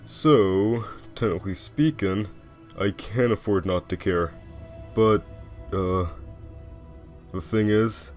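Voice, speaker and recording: A man voicing a lazy, wry skeleton joker with quiet menace in a comic dub, talking in a laconic, folksy way. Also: lowish voice, takes it slowly, long pauses, faint music behind. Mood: calm, resolute. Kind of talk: animated comic dub acting.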